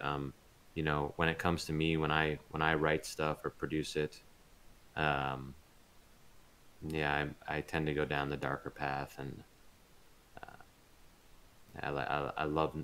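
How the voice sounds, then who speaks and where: A man's voice: very deep voice, fairly bright tone, smooth. A man talking casually and informally as an interview guest. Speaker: interview guest, voice actor and audio drama producer.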